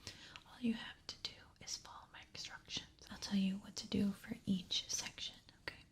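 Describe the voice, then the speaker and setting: A woman speaking in a breathy whisper, gently giving reassuring instructions as an examiner in an ASMR medical roleplay. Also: medium pitch, flat delivery, normal speed. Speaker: ASMR performer playing an ear examiner.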